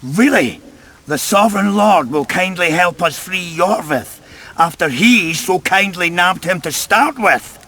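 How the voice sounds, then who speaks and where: A man's voice: high-pitched, expressive, measured pace, bright, forceful tone, exaggerated voice. An actor playing a wary, defiant male rebel fighter in a video game, suspicious and commanding. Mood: scornful.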